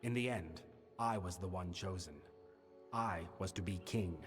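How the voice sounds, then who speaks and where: Solemn low-voiced fae king, echoing. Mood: solemn, burdened. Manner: formal, solemn, measured. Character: grave, burdened fae king of a winter house. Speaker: actor voicing a game character. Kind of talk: scripted fantasy game dialogue. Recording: long reverberant hall, music behind. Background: music.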